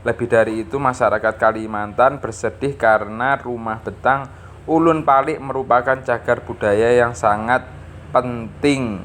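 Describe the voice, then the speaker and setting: A man's voice: mid-range voice, fairly even intonation, normal speed, a bit bright, a little hiss or hum. A man teaching a lesson, reading from a textbook and explaining, often adding little checking tags. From East Java, Indonesia. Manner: didactic, punctuates phrases with checking tags. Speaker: school teacher.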